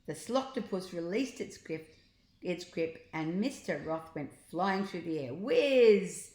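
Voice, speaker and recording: Older lady reading a kids story, slightly low voice, expressive, stretches words, echoey room. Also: unhurried. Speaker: grandmother reading to grandchildren. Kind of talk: storybook narration read aloud.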